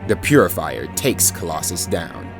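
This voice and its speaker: A man's voice: sits low, sing-song, moderate pace, creaky, faint music behind, exaggerated voice. A man narrating superhero action in an audio drama, in a scripted dramatic storytelling style. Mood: dramatic narration.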